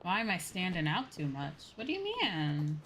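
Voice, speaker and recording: A woman's voice: on the low side, wildly swooping pitch, moderate pace, fairly bright tone, a little hiss or hum. A woman streaming a game, chatting casually and playfully and muttering to herself.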